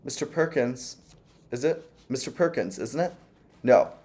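A man's voice: medium pitch, animated, measured pace, a little mellow, pitched above the performer's own voice, natural voice. A man voicing a gushing, fussy older landlady who is sweetly sinister, with a doting, sugary manner.

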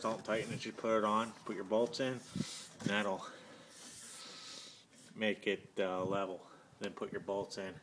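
Casual, plain-spoken man, a bit low, doing a rambling outdoor phone review. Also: fairly bright tone, a little hiss or hum. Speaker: product reviewer. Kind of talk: casual product review vlog. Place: outdoors by a van on pavement.

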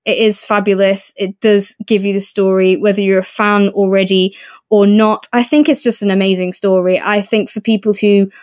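A woman's voice: mid-range voice, flat delivery, normal speed, a little mellow, no echo, clean studio sound. A woman co-hosting a podcast, chatting casually and effusively about books. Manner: enthusiastic, effusive. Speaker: podcast co-host. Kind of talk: casual book review discussion.

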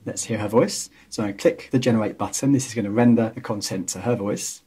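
A man with a husky voice narrating a software tutorial in a casual, explanatory way. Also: on the low side, brisk. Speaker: tutorial host.

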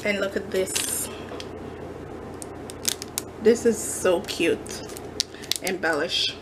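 A woman's voice: medium pitch, very slow, heavy background noise. A woman chatting casually as she shows off a craft shopping haul, gushing with many fillers, recorded at a kitchen counter. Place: home kitchen counter, indoors.

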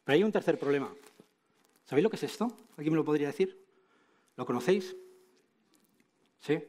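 A man's voice: on the high side, expressive, a little fast, darkish tone. A middle-aged man with a Castilian Madrid accent giving an informal conference talk, with wry asides and frequent tag questions. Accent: Castilian Spanish from Madrid. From Spain. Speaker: conference speaker, clinician. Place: large auditorium stage, indoors.

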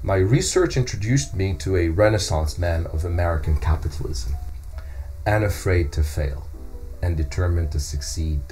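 A middle-aged man playing an earnest hometown journalist in a scripted podcast, telling local history in a measured way with deliberate pauses. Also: low voice, animated, dark tone, soft background music. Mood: earnest, storytelling. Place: small indoor podcast studio. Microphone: close studio microphone on a stand.

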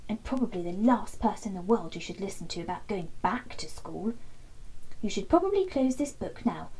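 A woman in her thirties reading a children's bedtime story expressively, with dramatic emphasis and hushed passages. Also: on the high side, breathy edge, poor audio. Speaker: storybook reader. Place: small indoor nook lined with soft toys.

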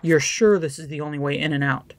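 A woman's voice: low-pitched, brisk. A woman playing a wary, plain-spoken rescue team member in an audio drama, blunt and questioning.

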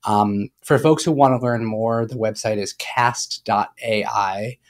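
A man's voice: lowish voice, measured pace. A young man hosting an interview over a video call, asking friendly, upbeat questions through earbuds. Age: young adult. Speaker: podcast host.

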